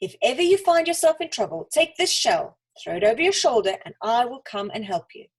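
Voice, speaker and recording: Woman as a little helpful fish, high-pitched, gurgly, husky edge, earnest, storybook creature. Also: very animated, a little fast, distant mic, rough recording. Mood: grateful, earnest. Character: little silver fish, stranded then grateful and helpful.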